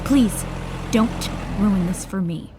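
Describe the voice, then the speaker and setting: An actress playing an upbeat, pleading friend, coaxing and expressive in a naturalistic audio drama. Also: female voice, medium pitch, takes it slowly, very noisy, lightly acted. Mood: excited, pleading. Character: pleading, upbeat woman friend dragging someone along.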